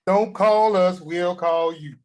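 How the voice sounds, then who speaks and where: An actor at a table read playing a boastful, crude, newly rich grandfather, talking in a slow drawl. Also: sits high, darkish tone.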